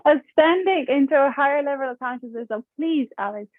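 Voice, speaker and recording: A woman's voice: sits high, animated, brisk, no echo, narrow, tinny audio. A woman from Romania hosting a podcast, talking in a hesitant, chatty way with many fillers and frequent laughs. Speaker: podcast host. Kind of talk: casual interview chat.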